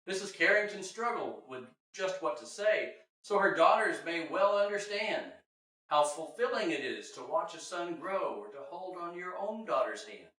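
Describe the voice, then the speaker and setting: A bright-voiced man narrates a rhyming children's story from a distance in a very reverberant room. His pitch swings widely, and his delivery is theatrical and sing-song. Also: expressive, normal speed. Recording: very echoey room, distant mic.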